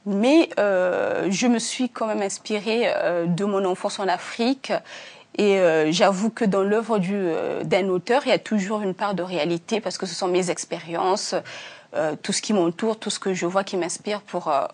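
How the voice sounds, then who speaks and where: A woman from Africa, an author being interviewed, answering earnestly with many pauses and restarts. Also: mid-range voice, a little mellow. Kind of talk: hesitant conversational interview answers.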